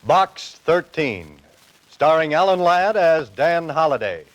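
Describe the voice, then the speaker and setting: A man announcing a 1940s radio show in a grand, drawn-out proclaiming style. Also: fairly high pitch, very animated. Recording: vintage radio recording under loud music.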